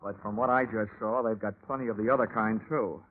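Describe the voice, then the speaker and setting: A man's voice: fairly low pitch, fairly even intonation, fairly quick, natural voice. Wry hard-boiled radio detective played by a man, tinny. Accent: American. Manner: clipped, wry, dry delivery. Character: hard-boiled, wisecracking male freelance insurance investigator. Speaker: lead actor, narrator. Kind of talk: 1940s radio detective acting. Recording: tinny vintage radio recording, music behind.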